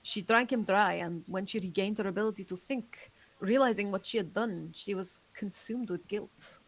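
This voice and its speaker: A woman's voice: mid-range voice, normal speed, natural voice, poor audio. A woman reading as a remorseful ageless vampire. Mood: somber. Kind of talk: table read.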